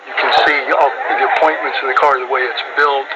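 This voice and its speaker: A man's voice: mid-range voice, steady tone, fast talker, highs cut off. A man giving an unscripted interview answer as a specialist, heard over shortwave radio. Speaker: interviewed car collection manager. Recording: very noisy shortwave radio reception.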